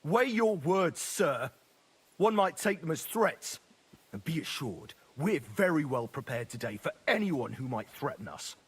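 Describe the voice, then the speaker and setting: An actor playing a stern, formal, guarded ally of a medieval lord in a video game. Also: male voice, a little high, big pitch swings, unhurried, slightly rough, heavily acted. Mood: warning. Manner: formal, warning. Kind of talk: dramatic video game acting.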